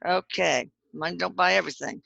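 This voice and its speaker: A woman's voice: on the low side, steady tone, moderate pace, creaky, pushed and bright, breathy edge, no echo, muffled recording. A middle-aged woman hosting a casual chat, reading aloud from notes with joking asides and spelling out names. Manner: chatty, jokey, reads with asides and spelled-out names. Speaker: host.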